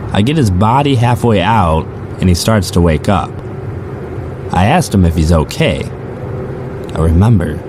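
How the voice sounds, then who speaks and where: A man's voice: low-pitched, noisy background. A man playing a chatty, sardonic rideshare driver, telling his story in the first person for an audio drama. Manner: casual, sardonic, conversational. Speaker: narrator and lead character.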